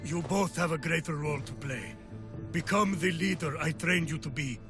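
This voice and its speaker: An actor voicing a middle-aged male general, measured, solemn and fatherly, in a game cutscene. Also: a little high, natural voice. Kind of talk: video game cutscene acting. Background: game score music.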